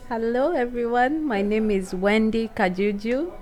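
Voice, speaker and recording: A woman's voice: a little high, a little slow, a little mellow, soft background music. A woman with a Kenyan accent speaking as a guest in an unscripted radio interview. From Meru, Kenya. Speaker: interview guest, travel agency owner.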